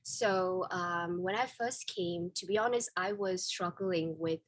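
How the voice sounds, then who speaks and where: A woman's voice: on the low side, measured pace, no room sound. Vietnamese-accented young woman, dry sound. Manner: candid, many fillers and restarts, laughs easily. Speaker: guest. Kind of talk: casual interview chat.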